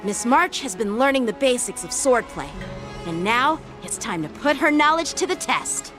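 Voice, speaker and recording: A woman's voice: a little high, expressive, moderate pace, music in the background, lightly acted. A woman voicing a polite, composed young swordplay teacher in an anime-style game, formal and earnest. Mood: measured, earnest.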